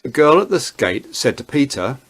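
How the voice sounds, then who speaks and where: A man's voice: medium pitch, sing-song, moderate pace, breathy edge. A man narrating a dramatized Bible reading as a scripted storyteller.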